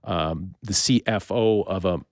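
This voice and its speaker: A middle-aged North American man with a low voice, recorded dry and close to the mic. He speaks as a podcast host in relaxed everyday conversation with a guest. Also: a little slow, no echo. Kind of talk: casual conversational interview.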